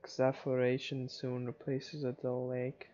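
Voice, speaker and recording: A man's voice: mid-range voice, completely flat delivery, measured pace, mellow, dull audio. A man reading a visual novel aloud as dramatic narration for a playthrough.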